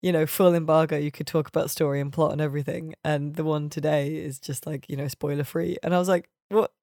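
A woman's voice: deep voice, fairly even intonation, quick pace, a little mellow, no echo, clean studio sound. A woman chatting casually and jokily about video games as a podcast co-host. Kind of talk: casual, jokey video game chat.

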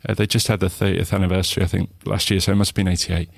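A man with a southern English accent, a guest in an interview, talking reflectively with many pauses, restarts and "you know"s. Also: low-pitched, flat delivery, gravelly, no room sound. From England.

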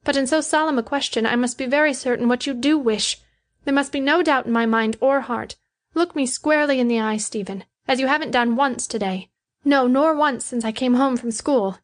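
A woman's voice: high-pitched, a little fast, voice pushed up, lightly acted. A woman voicing a proud, defiant young woman in love, emphatic and earnest, in an audiobook. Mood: solemn, challenging. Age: young adult. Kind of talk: audiobook character dialogue.

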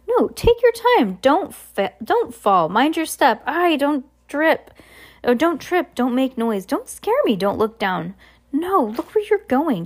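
A woman's voice: high-pitched, voice pushed up. A woman voicing a cheerful, scattered, enormous man in a storybook, who rattles off contradicting commands. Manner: rapid self-contradicting commands.

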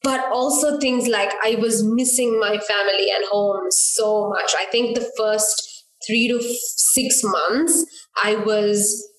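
A woman's voice: medium pitch, takes it slowly. Woman from Sri Lanka, echoey room. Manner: lively storytelling with quoted asides. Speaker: guest. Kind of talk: casual interview.

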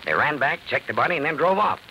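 An actor playing a weary, plain-spoken, sympathetic police detective in a matter-of-fact radio drama style. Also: male voice, fairly high pitch, very animated, fast, sharp tone, heavily acted. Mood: determined, sympathetic. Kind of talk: 1940s radio acting. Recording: faintly noisy old radio recording.

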